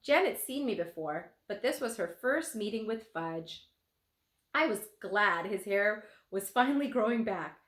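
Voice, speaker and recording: Animated woman reading aloud, roomy echo. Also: medium pitch, a little slow. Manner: expressive, animated reading with acted dialogue. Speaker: teacher reading aloud to pupils. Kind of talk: children's book read-aloud. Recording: roomy, echoing room sound.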